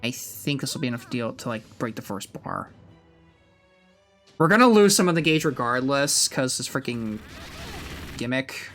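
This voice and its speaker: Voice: mid-range voice, expressive, normal speed, pauses often, fairly bright tone. A game streamer chatting casually on a live stream, thinking aloud hesitantly with mild swearing. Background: music.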